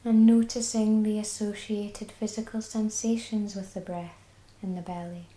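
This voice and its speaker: A woman with a Scottish accent guiding a body scan meditation, speaking slowly and soothingly. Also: mid-range voice, flat delivery, reverberant, low-quality recording. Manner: slow, soft and soothing. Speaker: mindfulness guide.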